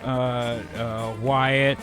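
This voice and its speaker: A man co-hosting an improvised comedy show, mixing casual, halting banter with story narration. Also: medium pitch, flat delivery, fairly bright tone, faint music behind. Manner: profane, informal, halting asides.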